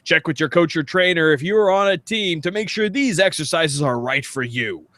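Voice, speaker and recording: American man, slightly high, casual co-host. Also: animated, normal speed, pushed and bright, no room sound. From United States. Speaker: podcast co-host. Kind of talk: casual chat, reading text aloud.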